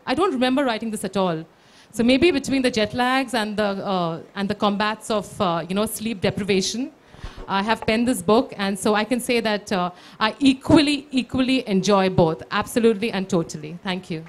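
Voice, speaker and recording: A woman's voice: mid-range voice, normal speed. A woman author giving a speech at her book launch, earnest and hesitant, with many fillers.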